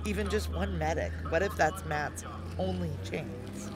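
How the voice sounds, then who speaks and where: An actress playing a tough, desperate fugitive, telling her story in an intense, halting, urgent way in an audio drama. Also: lowish voice, music in the background, lightly acted. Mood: anxious. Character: tough, desperate female fugitive narrating a sci-fi heist. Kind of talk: dramatic first-person audio drama narration and dialogue.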